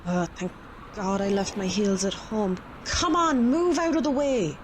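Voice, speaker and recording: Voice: mid-range voice, unhurried, slight background noise, natural voice. A performer voicing a brusque, impatient female teammate on a chase.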